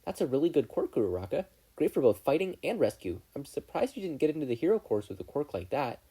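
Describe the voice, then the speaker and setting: A narrator plays an awkward, earnest teenage boy in an audiobook story. The delivery jumps about excitedly, apologetic and self-deprecating, in a soft-toned, husky voice. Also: medium pitch, fast, voice pushed up, rough recording. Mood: curious. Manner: flustered, eager, apologetic. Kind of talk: fan fiction audiobook character voice.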